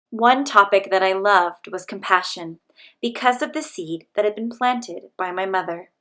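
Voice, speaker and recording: A woman reading a picture book aloud to children, in a gentle, measured way. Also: mid-range voice.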